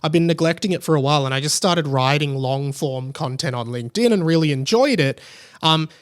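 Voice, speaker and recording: A man with an Australian accent and a voice pitched a little higher than most men's. He hosts a solo podcast in a loose, chatty style. His talk rambles, doubling back on itself and wandering off on side notes. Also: fairly quick, smooth. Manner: rambling, tangent-prone, self-interrupting. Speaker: podcast host. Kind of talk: casual solo podcast chat.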